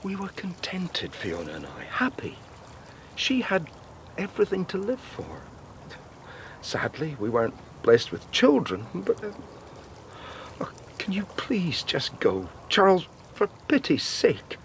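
High-pitched, exasperated widowed doctor. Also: male voice, wildly swooping pitch, measured pace, slight background noise, exaggerated voice. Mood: grieving. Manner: exasperated, emotional. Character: indignant, grieving Scottish male doctor, a widower. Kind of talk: radio drama acting.

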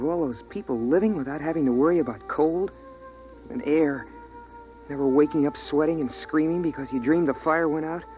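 An earnest, anxious boy, acted by a man tells his story in vintage radio style. His voice sits fairly high and the sound is dull and old, with music along with it. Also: close to an everyday voice. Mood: wistful. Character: earnest, anxious boy recalling life on a frozen earth. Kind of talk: 1950s radio drama narration.

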